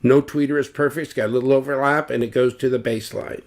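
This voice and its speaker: An older man slowly explaining loudspeaker measurements, talking deliberately with fillers and restarts, recorded at home on a webcam. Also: medium pitch, fairly even intonation. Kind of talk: technical explanation with drawings. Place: home living room, indoors.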